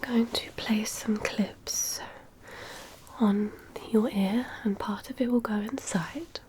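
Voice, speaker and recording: A woman whispers softly and breathily in an ASMR medical exam roleplay, giving gentle, reassuring instructions as a doctor. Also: fairly high pitch, steady tone, slow, noisy background. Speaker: ASMR performer role-playing a doctor giving a hearing test.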